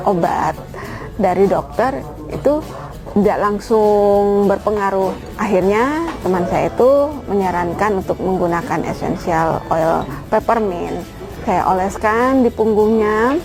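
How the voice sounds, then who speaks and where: A woman giving an informal, somewhat halting personal testimonial as a housewife. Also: mid-range voice. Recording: music bed behind voice. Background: background music.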